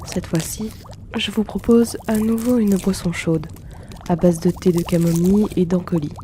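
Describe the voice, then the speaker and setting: Casting: male actor for courteous potion-shop keeper, ASMR roleplay. Warm and mellow, flat gentle delivery, softly teasing, unhurried. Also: sits high, slight background noise, natural voice. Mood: calm, explanatory. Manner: polite, soft-spoken, unhurried, gently teasing. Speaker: roleplay audio performer.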